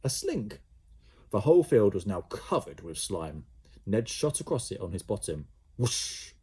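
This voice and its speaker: Animated low-voiced male storyteller. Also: moderate pace, husky. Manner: animated, with sound effects. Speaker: primary school teacher. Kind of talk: storybook read-aloud for children.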